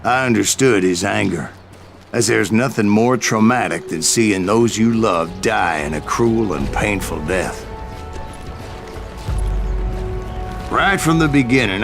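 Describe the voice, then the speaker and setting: An aging American bounty hunter of the Old West, spins his tales in a low voice with folksy, wry turns of phrase. Loud music plays behind him, as in a Western game. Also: lively intonation, normal speed. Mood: grave, reflective. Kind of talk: scripted storytelling narration.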